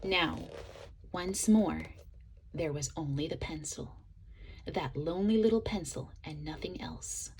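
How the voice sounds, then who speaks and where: A woman reading a children's picture book aloud in an expressive way, as a teacher would to young listeners. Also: sits low, a little hiss or hum, poor audio. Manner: expressive, pauses to ask listeners questions. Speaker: storybook reader, teacher.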